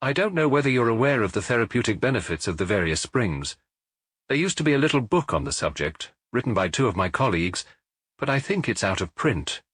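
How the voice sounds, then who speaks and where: A man's voice: medium pitch, sing-song, a bit bright, husky, no room sound. A man doing a courteous, methodical doctor. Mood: calm. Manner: measured, polite, reassuring. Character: courteous male spa doctor, calm and methodical.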